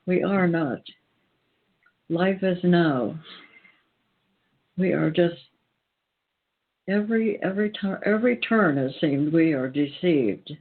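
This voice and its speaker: A man with a fairly high voice talks very slowly over a tinny, phone-like connection. He speaks earnestly about spiritual matters, pausing and restating phrases for emphasis. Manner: deliberate, emphatic repetition with pauses. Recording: tinny, phone-like band-limited sound.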